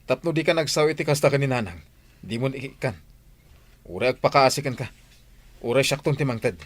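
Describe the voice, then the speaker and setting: A man's voice: medium pitch, animated, fairly quick, dry recording, close to an everyday voice. A man acting a stern, fed-up grown son in a radio drama, lecturing firmly with an Ilocano accent. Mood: reproachful. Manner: firm, lecturing. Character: stern, fed-up grown son scolding his gambling mother.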